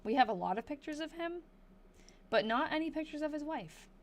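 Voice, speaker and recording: A woman from Massachusetts hosting a live stream, reading an old diary aloud in a casual way, thinking out loud and slowing down over hard words. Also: sits high, expressive.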